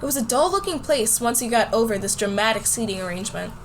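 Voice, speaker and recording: A woman's voice: a little high, animated, fast, slight background noise. A woman playing a nervous, bewildered schoolgirl who tells her own story in an amateur radio play. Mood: narrating. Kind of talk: first-person story narration and acted dialogue in an amateur radio play.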